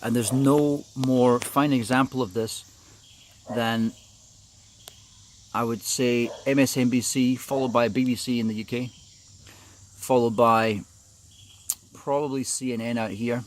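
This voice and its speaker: A man's voice: mid-range voice, a little hiss or hum. A middle-aged man from New Zealand giving an informal, opinionated monologue, rambling and hesitant as he goes. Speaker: video commentator.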